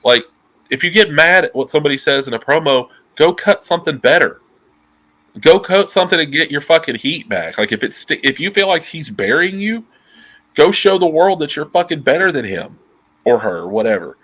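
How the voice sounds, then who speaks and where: A man's voice: fairly high pitch, moderate pace, poor audio. A man in his thirties with a nasal voice, a guest talking casually and profanely on a video call over earbuds, waving his hands as he talks. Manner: profane, animated, gesturing a lot. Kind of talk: casual interview chat. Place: dim room at home, indoors.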